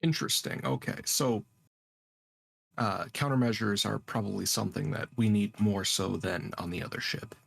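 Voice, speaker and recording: A man with a lowish, raspy voice full of creak talks casually as a tabletop gamer. The sound is dry. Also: normal speed, no room sound. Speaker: tabletop game player. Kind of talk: casual game-table chat.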